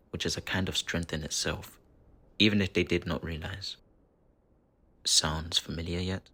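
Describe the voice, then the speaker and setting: Man as a very deep, gravelly villainous spirit. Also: bright, forceful tone, heavily acted. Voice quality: gravelly, husky. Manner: theatrical, taunting, deliberate with dramatic pauses. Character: possessing dark spirit villain, mocking, menacing, bitterly obsessive. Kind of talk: dramatic fantasy audio roleplay monologue.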